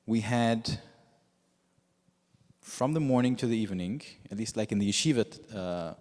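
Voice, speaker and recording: A man whose first language is Yiddish, preaching as a rabbi in a measured storytelling way with frequent pauses. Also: fairly low pitch, a little mellow. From United States. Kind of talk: sermon. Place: synagogue sanctuary with long reverb. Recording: reverberant hall.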